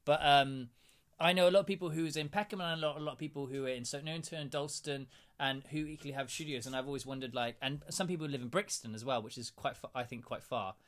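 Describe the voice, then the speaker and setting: A man's voice: medium pitch, fast. A man hosting a casual interview, chatty and meandering, with plenty of fillers and asides. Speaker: interviewer, host.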